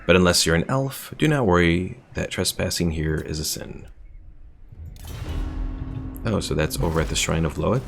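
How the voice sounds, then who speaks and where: Male gaming streamer, casual play-by-play commentary; low, gravelly voice. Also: moderate pace, long pauses, a little hiss or hum. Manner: chatty, reads chat aloud, thinks out loud.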